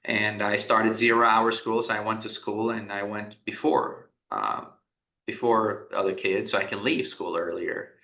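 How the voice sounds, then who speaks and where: A man's voice: lowish voice, a little slow, vocal fry, sharp tone. A man from Iraq, a business founder, talking as a guest in a podcast interview, telling his story with many restarts and fillers. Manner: rambling, frequent restarts and fillers. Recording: echoey room.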